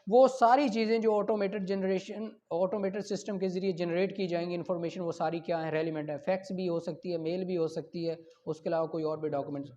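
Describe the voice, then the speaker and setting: A man's voice: high-pitched, fairly even intonation, quick pace, hardly any pauses, mellow. A man with a Pakistani accent lecturing on law, reading out articles and explaining them with rhetorical questions and examples. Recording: dull lo-fi audio.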